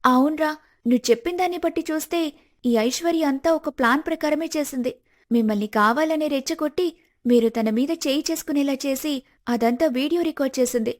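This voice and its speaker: A woman voicing a suspicious, protective young woman in an animated moral story, earnest and probing. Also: high voice, brisk, lightly acted. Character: suspicious, protective young woman helping her male friend.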